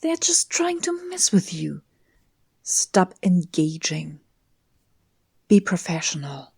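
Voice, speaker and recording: A man playing a stern detective superior in a dramatic audio roleplay, curt, commanding and deliberate. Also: high-pitched, huge pitch swings, vocal fry, a little mellow, breathy edge, exaggerated voice. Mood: stern, weary.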